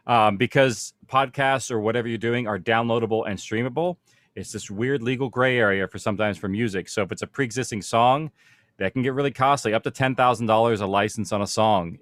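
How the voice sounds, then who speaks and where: A man's voice: on the low side, fairly bright tone, no room sound. A man speaking as a producer on a panel, explaining practical matters informally and conversationally, thinking aloud as he goes.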